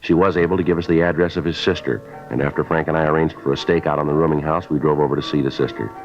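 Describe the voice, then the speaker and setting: Super low deadpan cop, clipped, old radio crime drama, music under it, muffled. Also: male voice, racing. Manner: clipped, matter-of-fact, deadpan. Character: stoic, businesslike male police detective sergeant. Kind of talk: 1950s radio crime drama narration and dialogue.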